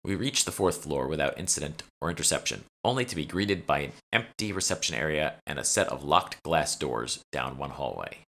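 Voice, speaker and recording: A man with a deep voice narrates a first-person audiobook. His tone is bright and forward, in the manner of an author reading his own story. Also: expressive, brisk, hardly any pauses.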